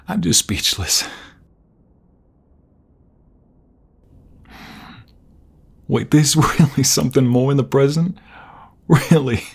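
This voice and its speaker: A very husky, breathy husband played by a man in an intimate ASMR roleplay, with a gravelly creak and an unhurried pace. He is tender and excitable, halting and repeating himself as emotion takes over. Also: lowish voice, exaggerated voice. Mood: delighted, giddy. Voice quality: breathy, husky, often dropping to whispers. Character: tender, excitable husband overjoyed at impending fatherhood.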